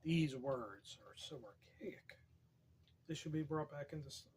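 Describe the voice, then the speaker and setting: A man with a hoarse, fading voice, reading poetry aloud and drifting into wry, rambling asides, keeping his voice soft and quiet. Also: on the high side, a little slow, a little hiss or hum. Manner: quiet, soft-spoken, wry deadpan humor. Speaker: poetry reader.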